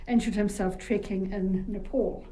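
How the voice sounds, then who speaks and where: A woman's voice: mid-range voice, steady tone, moderate pace, mellow, rough recording. A woman with a New Zealand accent, a guest in an interview, telling chatty anecdotes with frequent fillers. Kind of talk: casual interview storytelling.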